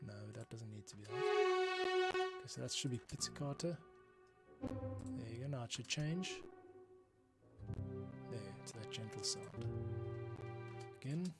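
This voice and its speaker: A man of average pitch talks himself through composing on a livestream, muttering in short, halting bits. Orchestral music plays prominently over his voice. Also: sing-song. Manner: muttering, halting, thinking out loud. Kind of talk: thinking aloud while working, screen-share tutorial stream. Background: orchestral music playback.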